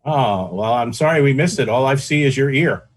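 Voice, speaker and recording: A man's voice: mid-range voice, animated, normal speed. A man chairing a club meeting over a video call.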